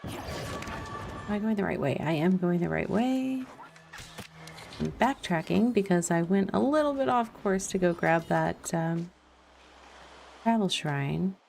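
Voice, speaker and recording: A woman's voice: fairly low pitch, lively intonation, measured pace, vocal fry, pauses often, a little mellow, slight background noise. A woman livestreaming a game with casual, playful, self-deprecating commentary. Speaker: game streamer and host. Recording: music playing behind the voice. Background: background music.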